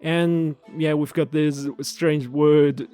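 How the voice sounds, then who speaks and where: High, clear-voiced man chatting casually. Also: little pitch movement, slow pace, mellow, soft background music. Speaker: video host. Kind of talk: casual language commentary.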